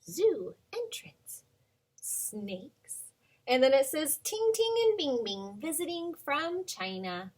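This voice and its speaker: A woman in her thirties, a preschool teacher, reading a picture book aloud to children in a gentle, sing-song way with many ums. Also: high-pitched, sing-song, drawn-out words.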